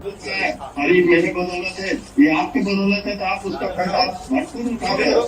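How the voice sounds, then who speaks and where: A man's voice: high-pitched, measured pace, over music. A man in a heated phone argument, argumentative and repeating himself. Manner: argumentative, repetitive. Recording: roomy, distant playback of phone call recording. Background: crowd sound at press conference.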